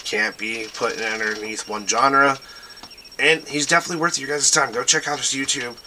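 A man hosts a loose livestream, rambling from one shout-out to the next as he urges listeners to go check things out. His voice is strikingly bright and sits at an ordinary pitch. There is a faint hiss of noise behind him. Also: steady tone, normal speed. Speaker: show host. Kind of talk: casual livestream shout-outs.

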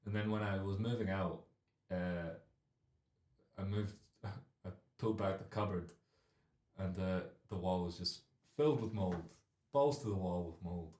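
A young man in his twenties hosting a comic chat show, loud and rambling, with a deep carrying voice that is a bit phlegmy. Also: unhurried. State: phlegmy, clearing throat. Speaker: radio show host. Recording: echoey studio room.

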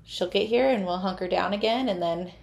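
A woman's voice: mid-range voice, fairly quick, creaky, a little mellow. Chatty pregnant woman podcast host. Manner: chatty, upbeat, giggly. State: heavily pregnant. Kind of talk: casual chat.

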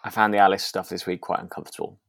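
A man co-hosting a podcast in casual chat, agreeable and a little halting as he works out his thoughts. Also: low-pitched, level tone, gravelly, fairly bright tone, no echo, studio quality. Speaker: podcast co-host.

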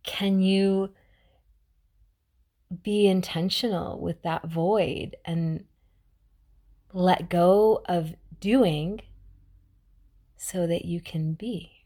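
A young adult woman hosting a mindfulness podcast, talking in a chatty, reflective way with many restarts and now and then dropping to a soft whisper. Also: lowish voice, fairly even intonation, extremely slow, pauses often. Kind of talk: casual reflective monologue.

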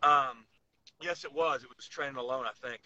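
A man with a very bright, forceful voice talks casually as a podcast co-host. He lays out his opinions in an instructive way, like a lifter explaining his method. The recording is slightly dull and lo-fi. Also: mid-range voice, steady tone, moderate pace.

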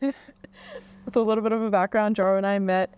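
A woman's voice: medium pitch, little pitch movement, moderate pace, a little mellow, clean tone. A woman hosting a podcast interview in an upbeat, effusive way. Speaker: podcast host.

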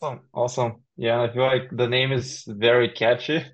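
A man's voice: mid-range voice, little pitch movement, fairly quick. Casual male podcast host, slightly dull audio. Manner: informal, frequent "like" and "I feel". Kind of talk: casual chat interview.

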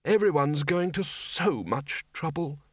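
Man doing a high, gentle, undead wizard. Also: animated, unhurried, no echo, clean studio sound. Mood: mild, apologetic. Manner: gentle, hesitant, courteous. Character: mild polite undead male wizard.

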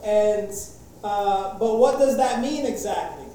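A man preaches in an easygoing, conversational way, stumbling through fillers and restarts. His voice is mellow and comes from far off, with heavy echo. The recording sounds like it was made in a large church hall. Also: high-pitched, slow. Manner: casual, jokey opening, many fillers and restarts. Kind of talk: sermon.

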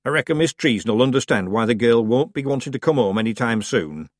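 A man's voice: medium pitch, expressive, quick pace, no room sound, studio quality, lightly acted. A man doing a cheeky rustic villager. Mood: cheerful.

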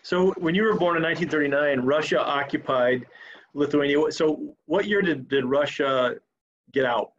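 A man's voice: a little high, normal speed, fairly bright tone, highs cut off, a little hiss or hum. A man hosting an interview podcast, eager and stumbling over restarts as he asks questions. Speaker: podcast host.

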